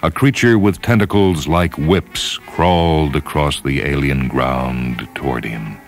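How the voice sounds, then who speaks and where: Male narrator, profoundly low voice, grave and measured storytelling over a music bed. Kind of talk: dramatic scripted story narration.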